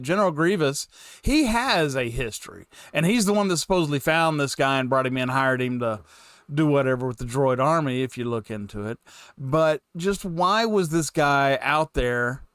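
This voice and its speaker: A man's voice: on the high side, a bit bright, clean tone, no room sound, studio quality. A man giving casual, unscripted reaction commentary, rambling and repeating himself as a host. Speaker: fan reaction channel host.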